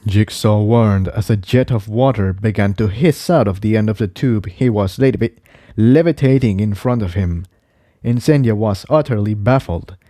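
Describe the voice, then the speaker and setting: A man's voice: lowish voice, normal speed, dark tone, dry recording, clean studio sound. A man narrating an adventure story from a script. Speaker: fan fiction reader, narrator.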